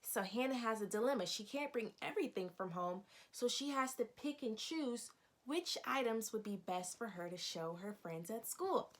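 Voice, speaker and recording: A woman with a fairly high voice reads a children's picture book aloud. She speaks as a teacher would to young listeners, explaining as she goes and posing questions to them. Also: animated, moderate pace. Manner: instructive, addressing young children.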